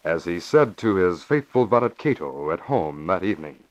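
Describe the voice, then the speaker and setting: A man's voice: sits low, sing-song, normal speed. A man announcing and narrating a 1940s radio show, emphatic and punchy in delivery. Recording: dull lo-fi old radio recording.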